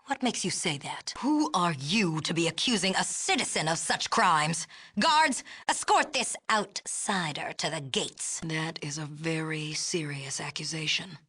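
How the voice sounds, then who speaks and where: A woman's voice: sits low, animated, unhurried, sharp tone, slightly rough, heavily acted. A woman playing a stern, haughty female leader of a walled city, speaking in a formal, clipped, imperious way in a video game. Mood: guarded, grave. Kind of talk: scripted video game dialogue.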